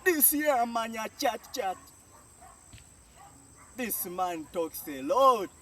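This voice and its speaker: A man's voice: high-pitched, big pitch swings, slow, sharp tone. A man with a Jamaican accent giving a spoken language lesson, saying each phrase and then translating it, often repeating. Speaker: language teacher. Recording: distant mic with faint outdoor noise.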